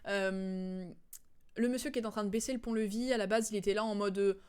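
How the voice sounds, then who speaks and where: A woman's voice: medium pitch, fairly even intonation, fairly quick, darkish tone, smooth. A woman streamer chatting casually and digressively as she recaps a video game's story, with a giggly manner. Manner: chatty, digressive, giggly, acts out quotes in slang.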